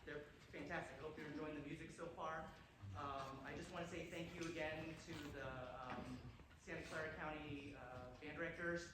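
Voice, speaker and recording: A man's voice: fairly high pitch, steady tone, normal speed, far from the mic, background noise. Man speaking from a concert stage, echoey hall. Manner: informal, grateful remarks with frequent restarts. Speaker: guest conductor. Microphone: distant hall microphone.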